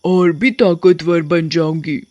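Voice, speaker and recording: A menacing, man-eating witch from a cartoon tale, played by a man. The voice is pitched high and stretches its threats out with hungry intent. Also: fairly even intonation, slow, darkish tone, no room sound, highs cut off. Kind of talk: cartoon story acting.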